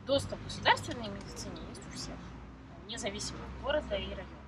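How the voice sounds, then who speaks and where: Woman explaining things over loud traffic. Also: mid-range voice, lively intonation. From Moscow, Russia. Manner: measured and explanatory. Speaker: interview guest. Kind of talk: explanatory conversational answers. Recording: distant mic with loud traffic noise.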